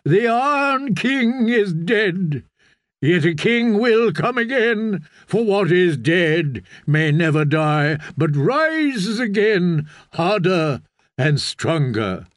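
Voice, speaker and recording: Man voicing a slow, fervent sea-god prophet. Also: high voice, sing-song, no echo, studio quality, voice pushed up, exaggerated voice. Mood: fervent, proclaiming. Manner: solemn, incantatory, preaching oratory. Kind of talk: dramatised fantasy audiobook reading.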